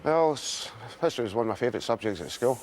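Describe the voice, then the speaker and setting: A man's voice: mid-range voice, animated, fast talker, darkish tone, a little hiss or hum. A Scottish man in his thirties, a quiz contestant who gives plain, brief answers. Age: 30s.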